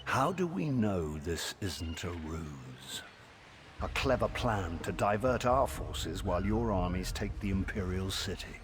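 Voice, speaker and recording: A haughty low-voiced fantasy king. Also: big pitch swings, noisy background. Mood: scornful. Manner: haughty, regal, measured. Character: proud, suspicious, disdainful male human king. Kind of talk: fantasy game dialogue acting.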